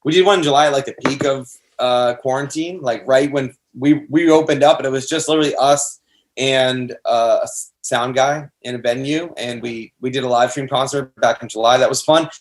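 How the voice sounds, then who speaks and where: A man's voice: mid-range voice, normal speed. Young American male band member, casual interviewee, loose slangy storyteller, mid-range voice. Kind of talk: casual chat.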